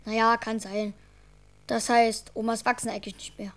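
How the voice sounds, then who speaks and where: A woman's voice: fairly high pitch, level tone, normal speed, natural voice. A female performer playing a young boy, imaginative and caring, chatty and earnest in a children's radio drama. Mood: patient.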